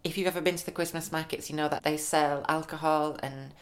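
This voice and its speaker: A man's voice: on the high side, steady tone, fairly quick, a bit bright. A young man chats casually as a podcast co-host. He is upbeat and laughs easily, with a slight lisp from new teeth. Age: 20s. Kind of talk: casual chat.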